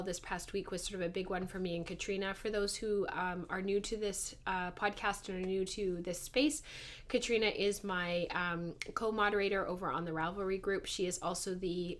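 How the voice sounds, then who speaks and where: A woman in her thirties hosts a casual podcast, talking chattily to her viewers. Her mid-pitched voice is hoarse and congested from a heavy cold, and she sounds like she is struggling a little to keep it going. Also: steady tone, normal speed. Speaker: podcast host.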